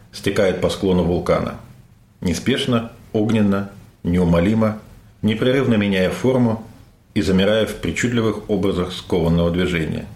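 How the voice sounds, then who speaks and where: Give me a man solemnly reading his own prose in a reverberant museum room, low-pitched. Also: a little mellow. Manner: slow, emphatic declamation. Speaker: author reading from his book.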